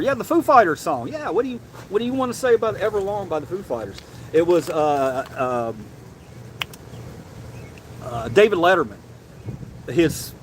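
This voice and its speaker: Animated man chatting with his stream. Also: on the high side, slight background noise. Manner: animated, swears casually, says bro and man a lot. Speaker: livestream host reading chat. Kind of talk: casual livestream chat. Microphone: desk mic, sometimes leaning away.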